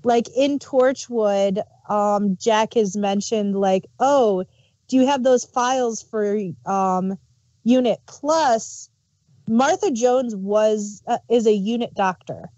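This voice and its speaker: A woman's voice: a little high, slow pace, dark tone, no echo, lo-fi sound. A woman co-hosting a review show in casual, conversational talk. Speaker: podcast co-host reviewing audio dramas. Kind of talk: casual chat.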